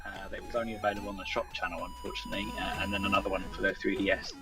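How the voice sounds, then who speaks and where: A man's voice: deep voice, level tone, racing, over music. A man chatting casually as a guest game developer in an interview.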